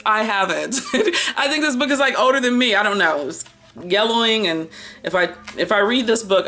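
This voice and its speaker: An American woman in her early fifties chatting casually about books in an expressive, slangy, playful and rambling way. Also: on the low side, slight background noise. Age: early 50s. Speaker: book vlogger. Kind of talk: casual chatty book haul and review.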